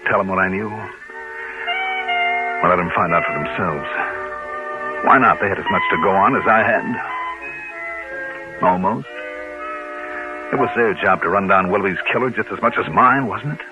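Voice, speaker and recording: Brooding low-voiced hard-boiled investigator played by a man. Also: normal speed, pauses often, lightly acted. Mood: anguished, brooding. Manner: terse, brooding, dramatic self-questioning. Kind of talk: 1950s radio drama acting with first-person narration. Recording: muffled old radio recording with music.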